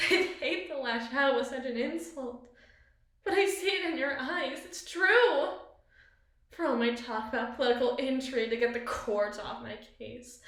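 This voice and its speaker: A woman's voice: high voice, a bit bright, full character voice. A woman playing a possessive, cunning evil queen in an ASMR roleplay, speaking in ornate, theatrical monologue to a spouse. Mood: agitated, impassioned, then bitter admiration. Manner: ornate, eloquent, dramatic phrasing. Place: small bathroom, indoors. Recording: very echoey tiled bathroom.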